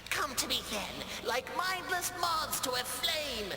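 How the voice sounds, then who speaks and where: A woman's voice: high voice, lively intonation, slow, music in the background, heavily acted. A woman voicing a grandiose, menacing villain in a video game cutscene, drawing out theatrical taunts. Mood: gloating menace. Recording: echoey hall with long reverb.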